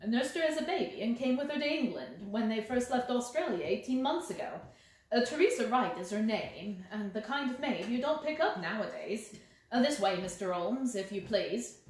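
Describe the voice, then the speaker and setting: A woman voicing a brisk, talkative, deferential male police inspector in a detective storybook. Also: fairly high pitch, lively intonation, very echoey, close to an everyday voice.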